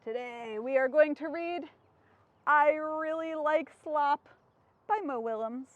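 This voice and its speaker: A woman's voice: high-pitched, slow, no room sound, lo-fi sound. A woman hosting an interactive storytime for young children, asking simple questions and answering them.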